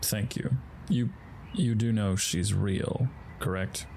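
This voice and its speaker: Audio drama role: man as a grave male emotion spirit, low and creaky, formal and earnest, faint noise behind. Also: slow, warm tone. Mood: grave, concerned. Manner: formal, stiff, earnest speech.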